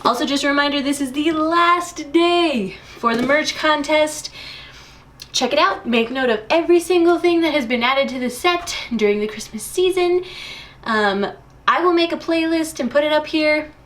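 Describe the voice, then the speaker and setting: Bubbly high-voiced woman hosting a vlog. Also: normal speed, fairly bright tone. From United States. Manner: bubbly, enthusiastic. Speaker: host. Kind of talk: casual vlog chat to camera.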